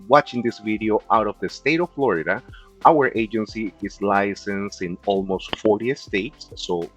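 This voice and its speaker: A man's voice: fairly low pitch, normal speed, fairly bright tone, faint music behind. A man from Peru with a Peruvian Spanish accent, Spanish his first language, giving a chatty recorded lesson and often ending sentences with "okay?". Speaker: insurance agent teaching a class. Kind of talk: casual explanatory video lesson.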